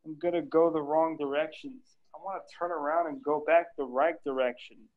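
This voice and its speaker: A man acts out a grieving, overwhelmed sitcom widower. His voice sits slightly high, and the video call makes it sound muffled. It is a table read of a script. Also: steady tone, moderate pace, lightly acted. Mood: sad.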